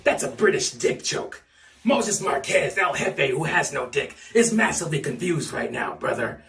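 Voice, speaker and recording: Blustering man doing a gravelly wrestling promo. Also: a little high, lively intonation, normal speed, fairly bright tone, recorded from a distance. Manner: blustering wrestler bravado, tacks on "brother" and "dude". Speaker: fan wrestling promo performer. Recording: roomy echo, off-mic.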